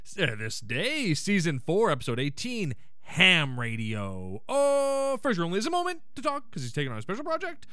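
A man hosting a podcast, giving a casual, slangy comedic recap in an excitable way and acting out quotes. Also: sits high, normal speed, clean tone, no room sound, studio quality. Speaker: podcast host.

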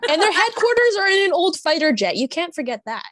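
A woman's voice: very high-pitched, sing-song, a little fast, fairly bright tone, no echo, studio quality. A woman chatting casually as part of a podcast discussion. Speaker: podcast participant discussing radio dramas. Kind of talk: casual chat.

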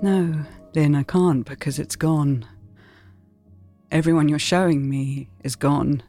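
A woman's voice: sits low, steady tone, warm tone. Wistful, anguished mother over music. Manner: hesitant, emotional, halting. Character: wistful, anguished mother reviewing her memories. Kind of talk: naturalistic audio drama acting.

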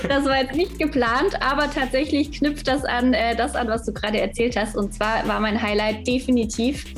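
Guest voice: woman in her twenties, light Swabian accent, higher voice, chatty and lively with fillers, faint music underneath. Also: normal speed. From Stuttgart, Germany. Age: 20s. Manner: lively, laughs often, many fillers. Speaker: podcast guest, fitness manager. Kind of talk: casual interview chat.

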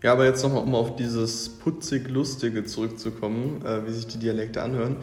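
A man's voice: mid-range voice, level tone, brisk, a little mellow. A man talking casually in a podcast discussion, hesitant and repeating words. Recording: echoey room with long reverb, faint music.

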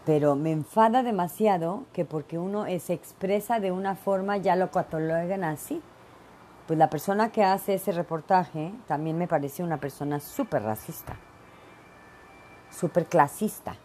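A woman's voice: lowish voice, mellow, slight background noise. A middle-aged woman from Veracruz, hosting her own show and giving blunt, emphatic opinions in a solo monologue full of fillers. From Mexico (Veracruz). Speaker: podcast host.

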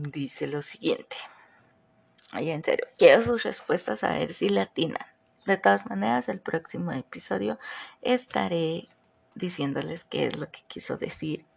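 A Mexican woman with a mixed Mexico City, Morelos and northern accent hosts a podcast at an unhurried pace. Her voice is deep-set, gravelly and husky. She chats easily and keeps asking the listener questions. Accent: Mexican Spanish, mixed Mexico City, Morelos and northern. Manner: chatty, asks listeners questions. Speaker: podcast host. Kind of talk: casual podcast monologue.

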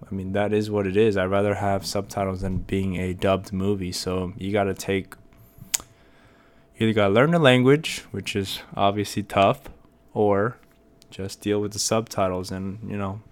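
A man's voice: fairly low pitch, fairly even intonation, unhurried, long pauses, clear, resonant tone. A young American man hosting a casual solo podcast, rambling with many fillers and restarts. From United States. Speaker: podcast host.

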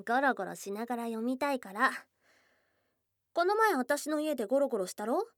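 A woman's voice: on the high side, dry recording, clean studio sound. A woman playing a young goblin girl, a blunt, teasing yet shy girlfriend, in an intimate ASMR voice drama. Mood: coy. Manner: blunt tomboyish speech, teasing and bashful. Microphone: close dry studio microphone.

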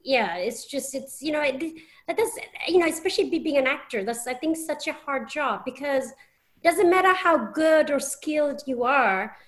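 High-voiced English woman, halting. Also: animated. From England. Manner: halting, many restarts and fillers. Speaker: guest. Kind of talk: casual podcast conversation.

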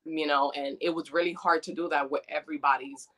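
A woman from New York, born in the Dominican Republic, talks earnestly with a bright, forward tone. Her pitch sits a little low for a woman. She chats freely and comes alive when she retells a moment. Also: fairly quick, rough recording. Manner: earnest and chatty, animated retelling. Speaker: doula, guest. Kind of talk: casual interview conversation.

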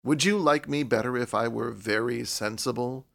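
A reckless young man from an audiobook, played by a male reader, speaking bluntly. His voice is slightly gravelly, with a rough, insistent temper. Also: medium pitch, normal speed, a bit bright. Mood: eager. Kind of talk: audiobook character voice.

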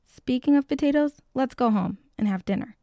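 A big, kindly storybook papa elephant who loves a joke, read by a woman in her own fairly high, creaky voice. He is patient and loving, with a gentle joking manner. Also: lively intonation, normal speed, no room sound, studio quality. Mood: warm, reassuring. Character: big, patient, jokey, loving male elephant foster father.

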